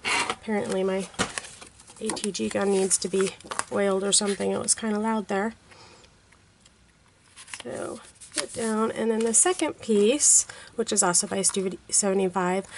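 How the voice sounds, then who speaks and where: A woman hosting a card-making tutorial, hoarse from a cold, talking in a chatty way with unsure asides. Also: mid-range voice, fairly even intonation, normal speed, a little hiss or hum. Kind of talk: casual craft tutorial narration.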